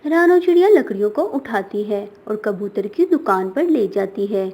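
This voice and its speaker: A woman's voice: medium pitch, expressive, moderate pace, husky, muffled recording. A woman reading a scripted children's story as its narrator.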